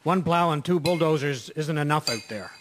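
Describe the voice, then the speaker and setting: Man as a practical garage foreman, slightly high voice, radio drama, faint clinking behind. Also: moderate pace, a bit bright, a little hiss or hum, lightly acted. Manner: practical, matter-of-fact. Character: male municipal garage foreman managing plows.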